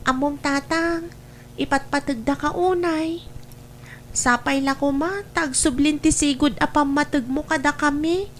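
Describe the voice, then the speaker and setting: Actress for dutiful, wistful child in radio family drama, high-pitched, pleading, faintly noisy recording. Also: female voice, a little slow. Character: sad, dutiful young child longing for father's attention.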